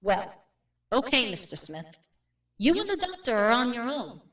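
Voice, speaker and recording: A woman playing a brisk, matter-of-fact real estate agent in a radio drama, plain-spoken and without superstition. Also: fairly high pitch, big pitch swings, fairly bright tone, recorded from a distance. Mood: brisk, dismissive. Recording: roomy, off-mic drama recording.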